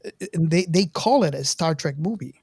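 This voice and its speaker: A woman in her fifties hosting a casual film discussion, speaking haltingly and often repeating words as she goes. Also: deep voice, lively intonation, vocal fry, darkish tone, slightly rough, no echo, studio quality. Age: 50s. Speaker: podcast host.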